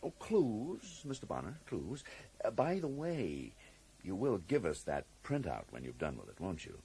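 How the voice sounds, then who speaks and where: An actor playing a cagey, drily amused federal agent in a radio drama, speaking with polite, evasive hesitations. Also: male voice, fairly low pitch, huge pitch swings, a little slow, warm tone, slight background noise, heavily acted. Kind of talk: 1980s radio drama acting.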